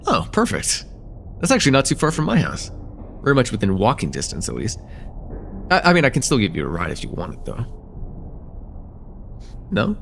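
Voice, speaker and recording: A man playing an affectionate, teasing young chemistry student in a casual, intimate one-sided roleplay, talking with hesitant, soft-hearted banter. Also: medium pitch, huge pitch swings, fairly quick, gravelly, long pauses, slight background noise, exaggerated voice. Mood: easygoing, caring. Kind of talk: slice-of-life ASMR roleplay, intimate one-sided dialogue.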